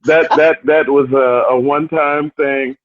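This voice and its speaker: A man's voice: a little high, steady tone, a little slow, no room sound, dull audio. A man co-hosting a podcast in casual chat, joking and teasing the others. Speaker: podcast co-host.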